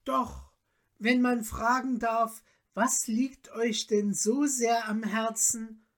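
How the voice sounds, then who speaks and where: A woman reader puts on a noble countess from a storybook, lifted high in pitch. She speaks very slowly in solemn, courtly phrases. Her temper is gracious and full of feeling. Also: little pitch movement. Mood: polite, curious. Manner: courtly, old-fashioned, solemn diction. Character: gracious noble countess, deeply moved and grateful.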